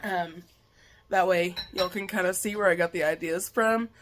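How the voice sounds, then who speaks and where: A woman's voice: lowish voice, moderate pace, a little hiss or hum. A woman, chatting casually while she cooks in her home kitchen, her voice a bit worn from allergies. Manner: chatty, relaxed, with fillers and stumbles. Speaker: cooking vlogger. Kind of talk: casual cooking vlog narration. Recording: kitchen clatter in background. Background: clinking dishes, pots and pans.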